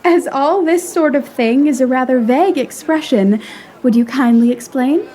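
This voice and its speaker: A woman playing a refined, affectionate young lady abroad in a period audio drama, with an animated, formal way of talking. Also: high-pitched, normal speed, lightly acted. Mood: playful, poised. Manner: animated, genteel, formal phrasing.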